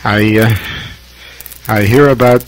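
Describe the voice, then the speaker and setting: Halting, low-voiced American man on shortwave radio. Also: steady tone, a little hiss or hum, low-quality recording. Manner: halting, deliberate, reflective, long pauses. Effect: shortwave radio transmission. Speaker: amateur radio operator. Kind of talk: casual ham radio conversation. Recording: vintage shortwave ham radio recording.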